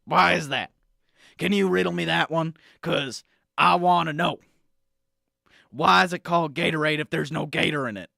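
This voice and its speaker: A man acting out a brash conspiracy-obsessed correspondent on a comedy radio show, shouting his theories in a loud, pushy rant. The voice sits a little high, with a gravelly, sharp tone and a dry, close sound. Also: moderate pace, pauses often, no room sound, heavily acted. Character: brash male conspiracy-ranting news correspondent and supplement salesman. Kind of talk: improvised radio comedy bit.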